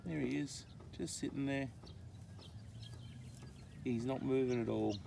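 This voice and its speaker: A man in his forties with an Australian accent, narrating a casual vlog in an informal, halting way, recorded outdoors on a handheld phone. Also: medium pitch, vocal fry, mellow, slight background noise. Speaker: farm vlogger. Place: outdoors on a dry rural farm. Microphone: handheld phone at arm's length.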